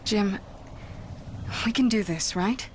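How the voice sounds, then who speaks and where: A woman's voice: medium pitch, takes it slowly, slight background noise, close to an everyday voice. A woman playing a guarded, blunt young colonist fighter in a game cutscene, terse and slightly formal. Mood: earnest. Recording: loud music behind the voice.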